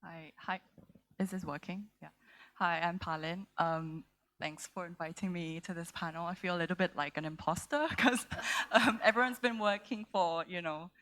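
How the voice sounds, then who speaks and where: A woman's voice: fairly low pitch, fairly even intonation, moderate pace, a bit bright. A young woman from Thailand, a literary agent speaking on a festival panel stage, casual and full of fillers. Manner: casual, many fillers like "um" and "you know", laughs lightly. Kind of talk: panel discussion.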